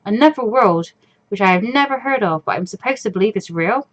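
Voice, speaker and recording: A woman's voice: medium pitch, expressive, a little fast, close to an everyday voice. A woman voicing an anxious, introspective fourteen-year-old girl in a visual novel, with a hesitant, musing manner. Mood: troubled, musing. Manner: hesitant, musing inner monologue. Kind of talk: visual novel read-aloud.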